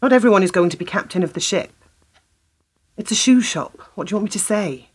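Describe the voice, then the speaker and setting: A woman's voice: mid-range voice, animated, brisk, breathy edge. An actress playing a wary, sardonic young working woman in a radio play, curt, dry and challenging. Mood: irritated, sardonic.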